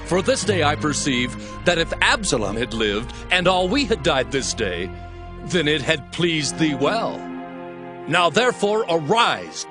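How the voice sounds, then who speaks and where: An actor playing a stern army commander in a dramatized scripture audio drama, declaiming forcefully with drawn-out emphasis. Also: male voice, fairly high pitch. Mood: bitter, then stern urging. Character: Joab, stern male army commander rebuking his king.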